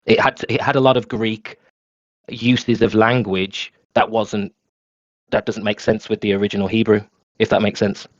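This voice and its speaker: A man's voice: lowish voice, flat delivery, moderate pace, dry recording, lo-fi sound. A man in a casual discussion, often restarting his sentences and asking if others follow. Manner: stumbling restarts, questioning tone.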